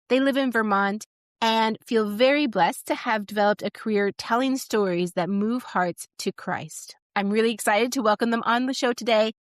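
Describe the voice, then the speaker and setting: A woman's voice: mid-range voice, dry recording, studio quality. A woman speaking as a podcast host, giving scripted introductions and asking interview questions.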